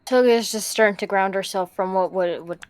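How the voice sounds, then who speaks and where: Mid-pitched woman role-player, very dry sound. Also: brisk, darkish tone, clear, resonant tone, no echo. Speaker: tabletop game player. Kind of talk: improvised narration of her character's actions.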